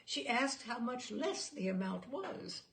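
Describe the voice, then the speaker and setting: A woman reading a literary short story aloud as a storyteller, in an animated, theatrical manner. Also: a little high, slow pace, gravelly.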